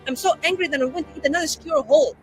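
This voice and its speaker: A woman's voice: sits high, racing, soft background music, voice pushed up, close to an everyday voice. A woman playing a tipsy, indignant young woman, ranting with sarcasm and swearing in a tabletop game. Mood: sarcastic ranting.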